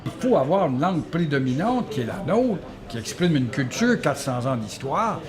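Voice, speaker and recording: A man's voice: on the high side, big pitch swings, moderate pace, crowd noise. A man speaking passionately and rhetorically in a documentary interview.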